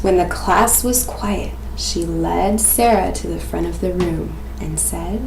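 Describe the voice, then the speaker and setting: A woman in her twenties speaks as a teacher giving an interactive read-aloud. Her delivery is slow, expressive and questioning, at a middle pitch. It is recorded indoors in an echoey room with faint noise. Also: vocal fry. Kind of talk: interactive picture-book reading and explanation for children. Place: small domestic room indoors.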